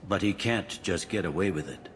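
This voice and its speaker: An actor playing a gruff, world-weary police captain in a video game, talking bluntly and wryly in everyday slang. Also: male voice, low-pitched, normal speed, a bit bright. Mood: weary, skeptical. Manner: blunt, colloquial, wry. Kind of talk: video game character dialogue. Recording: long hall reverb, faint background noise.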